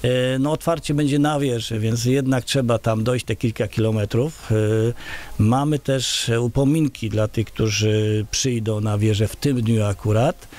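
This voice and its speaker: A middle-aged man speaks into a large studio microphone on a boom arm in a small radio studio. He answers as an interview guest, halting often with filler sounds and restarting his sentences. His pitch sits in the middle range for a man. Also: fairly even intonation, a little mellow. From Poland. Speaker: village head, interview guest.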